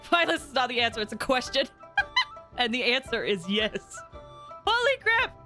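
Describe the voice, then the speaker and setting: A woman live streaming a video game, reacting casually in an excitable, slangy, gushing way. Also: fairly high pitch, unhurried, pauses often, soft background music.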